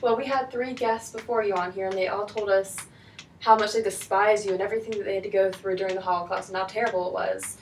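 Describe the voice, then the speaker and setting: A woman's voice: medium pitch, fairly even intonation, fast talker. A young woman acting as host of a student mock talk show, asking scripted questions in a plain, casual way. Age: young adult. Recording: distant mic, faint noise.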